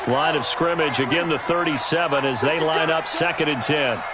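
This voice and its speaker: Actor as a higher-pitched American football announcer, noisy backdrop. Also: male voice, normal speed, a loud crowd, natural voice. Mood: steady, engaged. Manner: polished broadcast delivery. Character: football play-by-play announcer in a video game. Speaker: play-by-play sports commentator. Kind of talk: sports commentary. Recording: game audio under loud stadium ambience, thuds.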